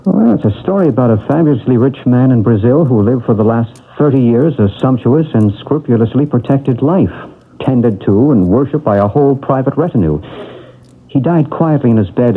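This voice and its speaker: An actor playing a calm male psychic investigator in a 1970s radio drama, speaking in a measured, reassuring way. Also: medium pitch, husky. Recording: old lo-fi radio broadcast, phone-like band.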